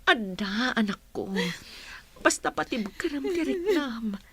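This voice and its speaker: A woman's voice: high-pitched, very animated, measured pace, a bit bright, slightly rough, full character voice. A woman playing a worried, soothing mother in a radio drama, earnest and pleading, with an Ilocano accent. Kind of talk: Filipino radio drama acting.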